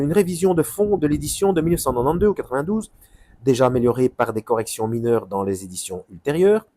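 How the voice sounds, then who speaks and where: A man's voice: a little high, darkish tone. A man reading a book's preface aloud, stumbling over names and breaking off into rambling, self-correcting asides. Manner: halting, stumbles over names, frequent fillers; muses to himself, loses his thread. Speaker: Bible reader and commentator.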